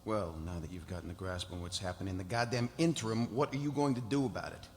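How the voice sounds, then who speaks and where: Male actor as bullying client, lowish voice, blunt, aggrieved, live drama read, reverberant hall. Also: fast. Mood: irritated, demanding. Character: angry, demanding male corporate client, foul-mouthed and bullying. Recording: echoey hall, faint background noise.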